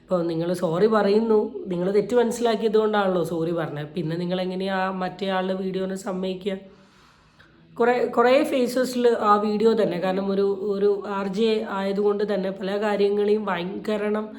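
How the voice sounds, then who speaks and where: A woman's voice: medium pitch, fairly even intonation, normal speed, darkish tone. A woman giving casual, opinionated reaction commentary, explaining her points and mixing in English words. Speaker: video commentator. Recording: echoey, roomy sound.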